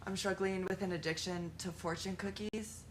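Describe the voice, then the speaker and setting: A woman's voice: mid-range voice, steady tone, moderate pace, slight background noise, lightly acted. A woman playing a sincere support group member in a live improv sketch.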